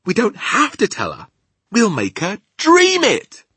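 A man's voice: high voice, wildly swooping pitch, moderate pace, sharp tone, breathy edge, no room sound, voice pushed up, heavily acted. A man voicing a feisty, indignant young girl from a children's storybook, emphatic and insistent. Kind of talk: children's storybook character reading.

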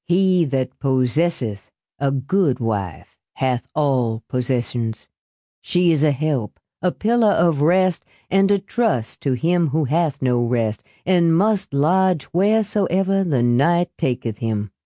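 A woman voicing a smooth, pious, grandiloquent man in a storybook reading, with a slow and pompous manner. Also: deep voice, animated, mellow, no echo. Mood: solemn. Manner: slow, pompous, scripture-quoting.

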